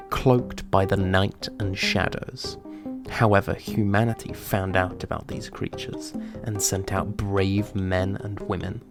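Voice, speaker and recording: Man as a slow, deep epic narrator. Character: solemn epic narrator of monster-hunter tales. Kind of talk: dramatic scripted narration. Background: guitar music.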